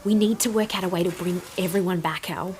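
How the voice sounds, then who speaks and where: A woman's voice: on the low side, steady tone, darkish tone, a little hiss or hum, close to an everyday voice. A woman playing a driven inventor and team leader in an audio drama, decisive and commanding. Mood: determined, urgent. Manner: decisive, brisk orders.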